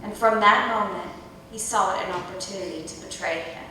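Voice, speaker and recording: A woman's voice: normal speed, sharp tone. A woman narrating scripture as part of a dramatic reading in a church. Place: church during a service. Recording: echoey church hall, distant mic, rumbly noise.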